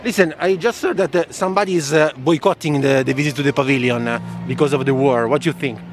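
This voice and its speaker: A man with a slightly high voice asks quick questions as a street interviewer. His English is non-native and hesitant, and he speaks into a handheld mic outdoors. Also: faint music behind. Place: busy outdoor exhibition plaza. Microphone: handheld reporter microphone.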